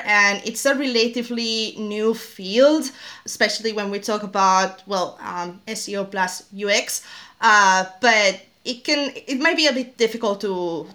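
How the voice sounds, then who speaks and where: A woman guest in an interview explaining her work, pausing often with fillers and restarts. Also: a little high, pushed and bright. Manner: hesitant, many fillers and restarts. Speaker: podcast guest, SEO specialist. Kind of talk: interview answers.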